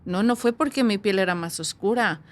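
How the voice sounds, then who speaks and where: A woman's voice: mid-range voice, moderate pace. A woman guest recounting her own experience in a thoughtful, conversational way, often ending points with tag questions. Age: adult. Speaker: guest, adoptee. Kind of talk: personal testimony in conversation.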